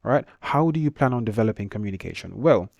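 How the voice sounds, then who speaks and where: Fast-talking Jamaican man teaching. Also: fairly low pitch, lively intonation, creaky, a little mellow, no echo. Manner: quick, with stutters and restarts. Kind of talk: screen-share tutorial.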